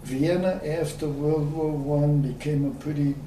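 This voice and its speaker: A man, recalling his life in an oral history interview, slow and hesitant with many pauses. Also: on the high side, steady tone, mellow. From Austria. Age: 90s. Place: room at a community center. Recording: echoey room, distant mic, faint hiss.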